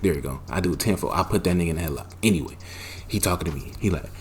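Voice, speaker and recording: Young guy w a real deep voice doing storytime, lots of cussing and slang, gets loud. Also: rapid-fire, a little hiss or hum. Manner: animated, profane slang-heavy retelling with loud bursts. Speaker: storytime vlogger. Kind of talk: casual storytelling.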